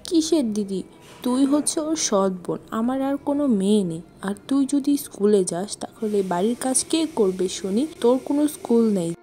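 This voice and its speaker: A woman's voice: a little high, animated, fairly quick, mellow, natural voice. A woman voicing a bossy, nagging village stepmother in a cartoon moral story. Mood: angry scolding. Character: harsh, scolding village stepmother, doting on her own daughter.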